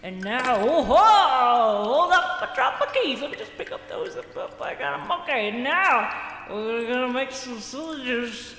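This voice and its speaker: Sloppy drunk cartoon auntie, slow and all over the place pitch-wise, echoey. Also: female voice, animated, a bit bright, a little hiss or hum. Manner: slurred, slow, wandering. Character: drunk, rambling cartoon aunt. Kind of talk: comedic radio play acting. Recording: echoey room with long reverb.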